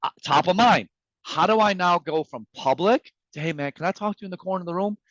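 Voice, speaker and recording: A man whose first language is Farsi pitches sales tips with punchy emphasis, playing out sample messages as he goes. His voice sits fairly high for a man. The sound is dry and a little muffled, as over a video call. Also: fast talker, no room sound. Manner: energetic, emphatic, acts out quoted messages. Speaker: guest speaker. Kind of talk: motivational sales presentation.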